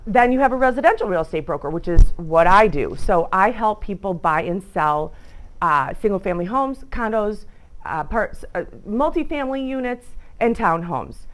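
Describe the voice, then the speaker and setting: Chatty middle-aged realtor woman from Illinois. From northern Illinois. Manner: chatty, lively pitch swings, self-interrupting asides. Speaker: guest speaker, realtor. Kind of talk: informal talk to students. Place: school club meeting room.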